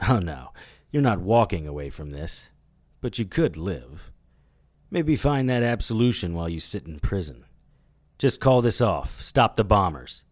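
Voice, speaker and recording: An actor playing a wisecracking, defiant private investigator in an audio drama, with a dry, sarcastic, unflappable delivery. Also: male voice, low voice, sing-song, measured pace, dry recording, exaggerated voice. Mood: calm threat.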